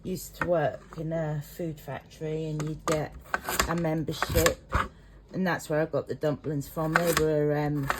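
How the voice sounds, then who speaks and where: A middle-aged English woman, a home cook, talking in a rambling, chatty, self-conscious way in her kitchen. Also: low-pitched. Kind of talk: casual cooking vlog. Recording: noisy home recording.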